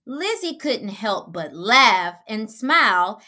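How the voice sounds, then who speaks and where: A woman narrating a children's storybook in an expressive, deliberate read-aloud style. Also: medium pitch. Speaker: children's book reader.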